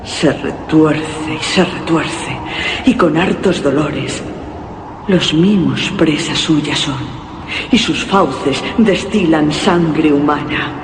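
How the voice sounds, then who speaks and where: An actor playing a solemn reciter of macabre verse in a radio horror drama, grave and declamatory. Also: male voice, fairly high pitch. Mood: grim, ominous. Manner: grave, declamatory, measured phrasing. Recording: noisy radio recording with long reverb.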